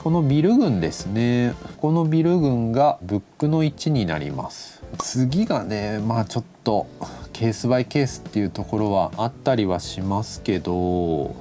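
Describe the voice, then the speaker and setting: A man's voice: fairly low pitch, expressive, moderate pace, few pauses. A man giving an instructional lecture in casual polite speech, explaining step by step and thinking aloud as he goes. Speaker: animation director giving a lecture. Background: background music.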